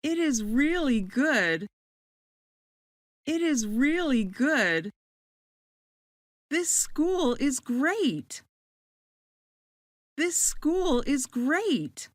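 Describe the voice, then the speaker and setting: A woman playing a friendly new student in a slow scripted dialogue for English learners, saying each line twice. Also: sits high, sing-song, no echo, studio quality, full character voice.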